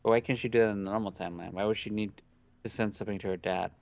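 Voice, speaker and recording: A man's voice: lowish voice, fairly even intonation, rapid-fire, gravelly. A young man casually reading visual novel narration aloud and chatting, stumbling over words now and then. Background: soft background game music.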